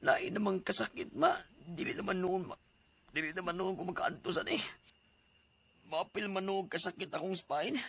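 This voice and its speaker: An ailing Cebuano son of eighteen in a radio drama. His voice is fairly high for a male, creaky and husky, with a hesitant, complaining delivery. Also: normal speed, pauses often, fairly bright tone, exaggerated voice. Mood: pained, complaining. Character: ailing eighteen-year-old son, reluctant and plaintive.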